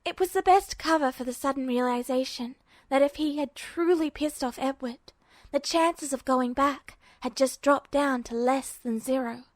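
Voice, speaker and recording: Woman, high-pitched voice, scripted story narration, dry close sound. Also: fairly even intonation, moderate pace, no echo. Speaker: podfic narrator.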